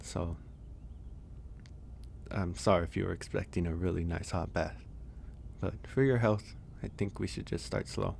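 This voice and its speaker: A man voicing a gentle, teasing werewolf in an intimate, soft-spoken ASMR roleplay, with a gravelly, unhurried delivery. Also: low voice, slight background noise, full character voice. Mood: caring. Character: gentle, teasing male werewolf caring for a guest.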